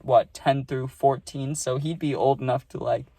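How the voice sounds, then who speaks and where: A man of twenty talking straight to camera, telling a story in a rambling, excitable way and often checking in with "right?" and "dude". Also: mid-range voice, moderate pace, vocal fry, slightly rough, no room sound. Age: 20s. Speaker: vlogger. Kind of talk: casual storytime monologue.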